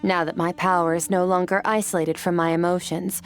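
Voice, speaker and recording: A woman voicing a low, solemn ancient swordswoman. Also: flat delivery, soft background music, lightly acted. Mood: gentle. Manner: measured, solemn, philosophical. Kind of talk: video game voice acting.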